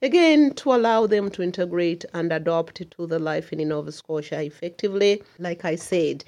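Middle-aged woman, lower register, community leader in a radio interview, earnest and emphatic. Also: moderate pace, a little mellow. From Uganda. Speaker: community association chair.

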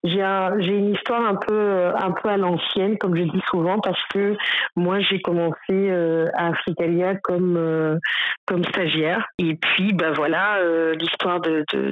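A woman from Burundi answers interview questions about her work, pausing often with fillers and restarting her sentences. Her voice comes through slightly muffled and dry, as if over a remote line. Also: fairly low pitch, no echo. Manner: hesitant, many fillers and restarts. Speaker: guest, director of a cultural organization.

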